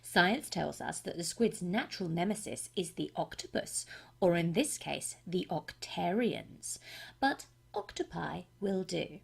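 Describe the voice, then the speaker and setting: Woman as a genial, creaky game scientist. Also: lowish voice, lively intonation, measured pace, heavily acted. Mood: genial, explanatory. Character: genial, quirky research scientist presenter, video game. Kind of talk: scripted narration.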